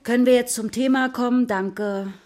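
A woman's voice: on the high side, fairly even intonation, normal speed, darkish tone, natural voice. A woman playing a patient, encouraging schoolteacher addressing a class in a naturalistic radio play. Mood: patiently firm. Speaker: primary school teacher.

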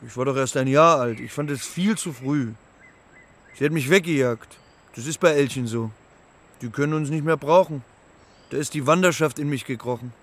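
An actor in a children's radio play voicing a naive, homesick young moose who talks in a simple, halting, literal way. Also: male voice, medium pitch, close to an everyday voice. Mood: wistful. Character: young male moose, homesick, naive, earnest talking animal.

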